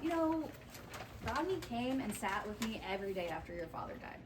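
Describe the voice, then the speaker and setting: A woman's voice: a little high, big pitch swings, fairly quick. An actress playing an apologetic, over-eager middle-aged widowed mother in a comedy table read. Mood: sheepish. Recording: distant mics, echoey noisy room.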